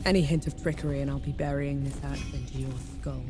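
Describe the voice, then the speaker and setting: A woman's voice: extremely low pitch, steady tone, quick pace, music in the background. A woman voicing a fierce, vengeful warrior, blunt and defiant, in a video game. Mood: defiant, threatening. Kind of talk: video game cutscene acting.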